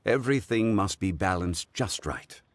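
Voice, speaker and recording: A low, dignified gentleman scholar, played by a man in an animated game. He is calm, formal and eloquent, with expressive intonation over soft music. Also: moderate pace, lightly acted. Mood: pensive, expert. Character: dignified, calm, scholarly male consultant, courteous and wise.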